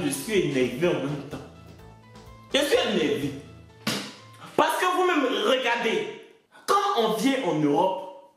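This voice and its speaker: A man's voice: sits high, a bit bright. A man from West Africa, delivering a stand-up comedy monologue in an indignant, mocking way and drawing out his punchlines. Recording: very echoey room, faint music.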